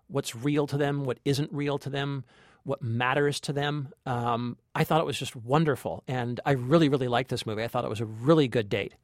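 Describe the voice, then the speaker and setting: A man's voice: medium pitch, no echo, studio quality. A man co-hosting a podcast, reviewing films conversationally in a thoughtful way, often repeating phrases as he feels out his point. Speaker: podcast co-host and film critic.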